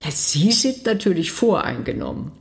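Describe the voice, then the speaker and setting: A woman's voice: on the low side, very animated, normal speed, creaky. A woman in a radio play, speaking in a skeptical, probing way.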